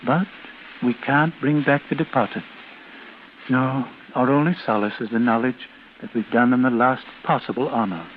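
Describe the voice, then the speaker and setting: An actor playing a solicitous, solemnly courteous mortician with a smooth sales patter in a 1940s radio drama. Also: male voice, mid-range voice, fairly even intonation, normal speed, lightly acted. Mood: unctuous, persuasive. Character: unctuous, solicitous mortician selling caskets. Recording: muffled, hissy old radio recording.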